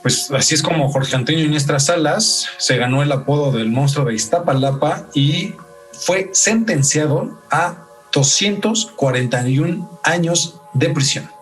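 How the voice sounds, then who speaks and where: A man's voice: a little high, animated, unhurried, recorded from a distance. A Mexican man hosts a true-crime podcast in a conversational way. His voice sits a little away from the microphone, with faint music behind it. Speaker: podcast host.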